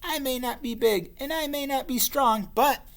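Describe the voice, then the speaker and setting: A man's voice: very high-pitched, normal speed, pitched above the performer's own voice. A man voicing a small, clever, plucky bat in a children's storybook. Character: little bat, small and weak but clever.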